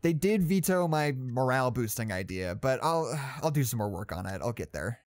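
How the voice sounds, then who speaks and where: Audio drama role, male actor: well-meaning male psychologist, nervous, halting, forcedly upbeat, swinging intonation, dry close sound. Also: medium pitch, expressive, no room sound, lightly acted. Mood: nervous optimism. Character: anxious, rambling, well-meaning male psychology department head. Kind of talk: naturalistic audio drama monologue, spoken log.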